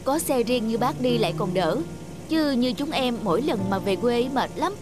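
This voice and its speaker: A high-voiced, nagging young mother from a cartoon, acted by a woman. She is fussy and thrifty, always grumbling, with prominent music playing behind her. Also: lively intonation, normal speed, lightly acted. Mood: complaining. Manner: complaining, fussy. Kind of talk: cartoon voice acting.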